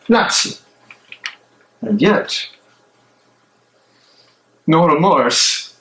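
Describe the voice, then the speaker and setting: A man in a dramatic stage reading playing a tormented, guilt-ridden German theologian, speaking haltingly and theatrically. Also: medium pitch, fairly bright tone, exaggerated voice, poor audio.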